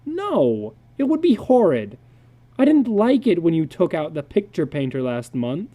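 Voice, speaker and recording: Reader putting on a high, sullen young boy. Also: very animated, unhurried, warm tone. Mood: petulant. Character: Peter, sullen, defiant young son.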